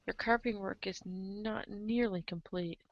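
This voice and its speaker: A woman's voice: mid-range voice, steady tone, a little slow, no room sound, highs cut off, lightly acted. A woman playing a wry, theatrical narrator who reads scripted comedic second-person narration. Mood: wry narrating. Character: wry storybook narrator addressing the hero as you.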